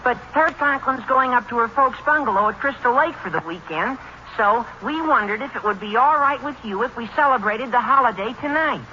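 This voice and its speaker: An eager teenage schoolboy, gushing and earnest, in a high, piercing voice. It is 1940s radio sitcom acting on a dull old recording with some hiss. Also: male voice, expressive, a little fast, pushed and bright, full character voice. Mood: eager, pleading.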